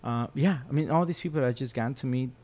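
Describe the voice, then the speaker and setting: A man's voice: mid-range voice, fast. A man whose first language is Spanish, a podcast guest telling stories in casual chat and acting out other people's voices. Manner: animated storyteller who acts out other people's voices. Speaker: podcast guest, rock photographer.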